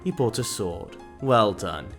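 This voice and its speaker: A cynical mercenary swordsman, acted by a man for an audio drama, world-weary and full of himself. His pitch swings widely through sardonic, dramatic speeches, with music underneath. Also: animated, measured pace, a bit bright. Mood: dismissive, curt. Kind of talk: audio drama acting with narration.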